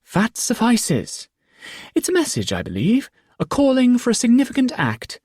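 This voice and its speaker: An impish male court fool chants in a shrill, piping voice pitched far above a man's normal range. He swoops wildly in pitch and enunciates every word with arch precision, like a storybook jester, heard dry and close. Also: huge pitch swings, normal speed, a little mellow, husky, no echo, exaggerated voice. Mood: exasperated, arch. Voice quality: high piping voice. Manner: singsong, elaborately enunciated.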